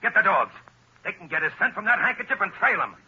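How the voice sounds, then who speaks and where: A man's voice: high-pitched, very animated, fast. An actor playing a cold, commanding male gang boss in a 1940s radio drama. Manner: gruff, commanding. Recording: dull lo-fi old radio recording with hiss.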